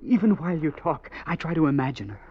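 A man's voice: a little high, big pitch swings, fast talker, breathy edge. A young man playing an earnest, dreamy eighteen-year-old farm lad full of wonder in an old radio drama. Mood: eager pleading. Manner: breathless, wonder-struck, earnest. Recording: muffled, noisy vintage radio recording.

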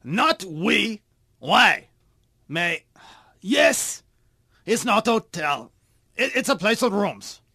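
A man's voice: sits high, big pitch swings, gravelly, pushed and bright, breathy edge, no room sound, studio quality, exaggerated voice. A man doing a flustered French waiter. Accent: French, put on. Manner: halting, confused broken English. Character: bumbling French male waiter Renee. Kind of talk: comedic radio play acting.

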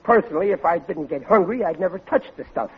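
A man's voice: sits high, lively intonation, quick pace. An actor playing a blustering, thickheaded American husband and father who speaks in folksy bad grammar, in 1940s radio sitcom style. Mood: pompous, grumbling. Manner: folksy, mangled grammar, indignant. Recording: dull, noisy old radio recording.

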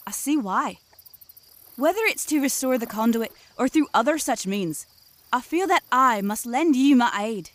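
Woman as a high-voiced, stately water elder. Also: natural voice. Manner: formal, grandly archaic diction. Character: stately female elder of magical water folk, formal and helpful. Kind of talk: fantasy comedy audio drama acting.

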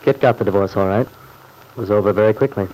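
Low-voiced weary, stoic radio drama man acted. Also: brisk, darkish tone, close to an everyday voice, rough recording. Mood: weary, resigned. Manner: hesitant, downbeat. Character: weary, subdued, newly divorced man, polite and stoic. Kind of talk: old-time radio drama acting.

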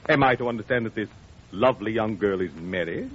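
An actor playing a pompous, money-hungry blue-blood hospital director, fussy and hesitant, in 1940s radio style. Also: male voice, fairly low pitch, expressive, brisk, husky. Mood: pompous, courtly. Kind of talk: 1940s radio comedy-drama acting. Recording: muffled, hissy old radio broadcast.

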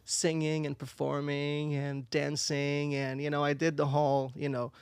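A man's voice: on the high side, monotone, unhurried, smooth, no echo. A man from Israel, a native Hebrew speaker, chatting casually as an interview guest, rambling and searching for words. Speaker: interview guest, singer and performer. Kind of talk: casual chat.

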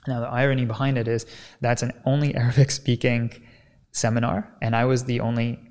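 A man speaking as a kinesiologist guest on a podcast, explaining in an energetic, teaching way and often checking in with "right?". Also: medium pitch, fairly quick.

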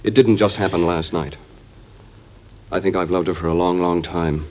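An actor with an American accent playing a respectful young aide in love, earnest and deferential, in an old-time radio drama. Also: male voice, deep voice, fairly even intonation, normal speed, slight background noise, close to an everyday voice. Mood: heartfelt, pleading. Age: young adult. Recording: muffled old radio recording.

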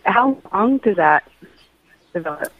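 A middle-aged woman speaking reflectively and personally, haltingly searching for her words over a call. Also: mid-range voice, expressive, vocal fry. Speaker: guest giving feedback on an astrology reading. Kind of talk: personal, reflective casual talk. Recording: phone-like call with some echo.

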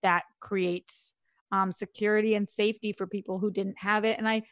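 A woman's voice: mid-range voice, level tone, moderate pace, dry recording. A woman co-hosting an entertainment news podcast, chatting casually and reading quotes aloud with frequent fillers. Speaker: podcast co-host.

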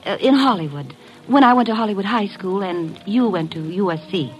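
An actress playing a charming but nervous society wife and hostess in an old radio drama, coy and polite. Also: female voice, medium pitch, fairly quick, soft background music, close to an everyday voice, poor audio.

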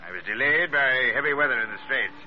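A dull, noisy vintage radio recording of a man acting a hard, menacing sea captain. He is middle-aged and curt, handing out deliberate, domineering threats in 1940s radio drama style. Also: medium pitch, natural voice. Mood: insistent, threatening. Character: menacing one-legged middle-aged schooner captain, gun in hand.

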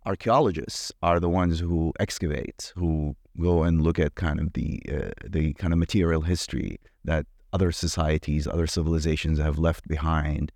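A middle-aged man from Iraq speaks in a strikingly deep voice. He answers carefully and hesitantly as a guest, circling back with fillers. The sound is dry and close. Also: fairly even intonation, moderate pace, hardly any pauses, darkish tone, dry recording. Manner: hesitant, many fillers and restarts. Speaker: guest, anthropology professor. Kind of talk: thoughtful interview answers.

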